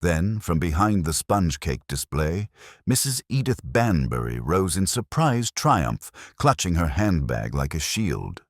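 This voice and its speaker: Very deep, resonant man narrating a mystery. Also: very animated, no room sound, clean studio sound. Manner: wry, literary, measured storytelling. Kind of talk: scripted dramatic story narration.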